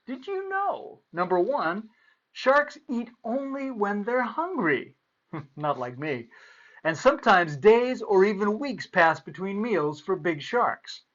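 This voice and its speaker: A man's voice: sits high, a bit bright, lo-fi sound. A man presenting and reading aloud for children as a storyteller, playful and theatrical.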